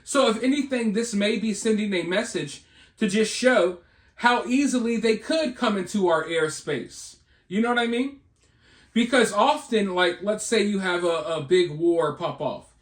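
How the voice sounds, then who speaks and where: American male commentator, high voice, roomy echo, conversational delivery, rhetorical check-ins, informal news talk. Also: measured pace. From United States. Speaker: online video commentator and channel host. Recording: roomy, echoing voice recording.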